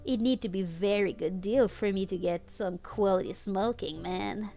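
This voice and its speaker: A woman's voice: medium pitch, moderate pace, dark tone, soft background music, lightly acted. A woman voicing a big, stoned, laid-back man who haggles in drawling slacker talk, reading a fan story aloud. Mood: lazy, haggling.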